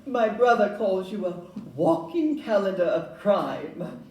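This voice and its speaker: Roomy, distant recording. Actress as young Victorian house agent: polite, brisk questioner, lively mellow voice. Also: female voice, mid-range voice. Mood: businesslike, curious. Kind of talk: stage audio-play acting. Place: live performance in a club room. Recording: distant roomy live recording, faint noise.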